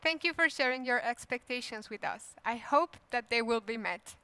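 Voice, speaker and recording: A woman's voice: a little high, normal speed, pushed and bright, clear, resonant tone, dry recording. A woman chairing a virtual youth forum, speaking in a polite, welcoming way.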